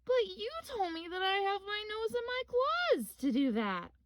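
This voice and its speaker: Storybook bear cub played by a woman: young, male, curious and eager, very high voice, bouncy intonation. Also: very animated, unhurried, no room sound, clean studio sound, heavily acted. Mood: curious, questioning. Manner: wide-eyed, eager questioning. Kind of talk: children's storybook read-aloud.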